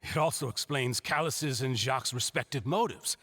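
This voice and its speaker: Husky smooth-talking gentleman villain in a game trial, smug, lilting, big hall reverb. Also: mid-range voice, moderate pace. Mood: smug calm. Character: suave, composed accused gentleman. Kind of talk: video game courtroom drama acting.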